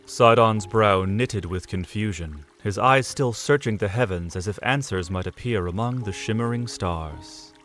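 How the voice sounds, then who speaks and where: Low-voiced male audio drama narrator. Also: fairly bright tone, faint music behind. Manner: measured storytelling. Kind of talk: scripted narration.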